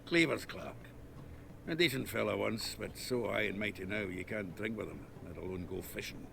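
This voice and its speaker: An actor playing a gruff, blunt male dwarf card dealer with a gravelly voice, in a video game. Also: lowish voice, moderate pace. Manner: blunt and coarse. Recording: game audio with background noise.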